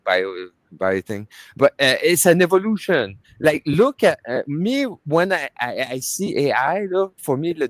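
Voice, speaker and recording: A man at home on a group call, chatting excitedly and rambling with halting restarts. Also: a little high, no echo. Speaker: call participant. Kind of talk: casual group chat.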